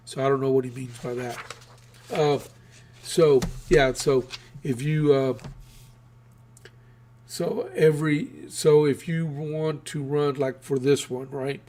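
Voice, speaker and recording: A man's voice: medium pitch, steady tone, unhurried, a little mellow, a little hiss or hum. A man from Texas hosting his own political news show, talking casually with many fillers and restarts while losing his voice.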